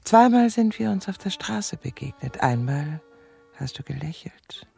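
A woman's voice: low voice, animated, unhurried, creaky, a little mellow, husky, faint music behind, heavily acted. An actress playing a lonely, lovesick middle-aged woman in a radio drama, scheming and self-pitying, with an intimate, confiding, dramatic delivery. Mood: confiding, obsessed. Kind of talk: German radio drama acting, dialogue and confiding inner narration.

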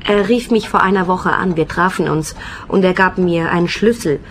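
A woman's voice: lowish voice, normal speed, a little hiss or hum, natural voice. An actress playing a brazen, mercenary call girl in a radio play, cool, cheeky and matter-of-fact.

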